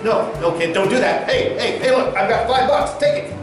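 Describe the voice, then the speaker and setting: A man playing a high-voiced, condescending clown spokesman. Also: lightly acted. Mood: pleading, panicked. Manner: mocking, condescending, drawn-out emphatic delivery. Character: smug, taunting clown corporate spokesman of a video site. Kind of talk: comedy sketch monologue. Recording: roomy echo with faint music behind.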